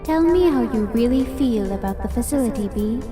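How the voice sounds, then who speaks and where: An actress playing a probing, commanding office boss in an audio drama. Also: female voice, a little high, lively intonation, a little fast, over music, lightly acted.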